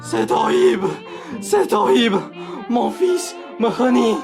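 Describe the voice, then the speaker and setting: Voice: medium pitch, very animated, over music. A young performer playing a theatrical older aristocrat in a French farce made as a radio play, melodramatic and declamatory. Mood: mock anguish. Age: young adult. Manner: melodramatic, declamatory, slow delivery. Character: theatrical older male aristocrat claiming a long-lost son.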